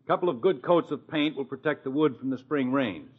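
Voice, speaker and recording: An actor playing a genial, self-satisfied middle-aged father in a 1950s radio sitcom, lecturing deliberately about his plans. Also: mid-range voice, slightly rough, lightly acted. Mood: earnest, instructive. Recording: dull old radio broadcast recording.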